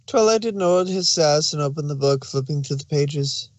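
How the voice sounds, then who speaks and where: A man's voice: fairly high pitch, normal speed, dry recording. A man reading scripted story narration as the narrator.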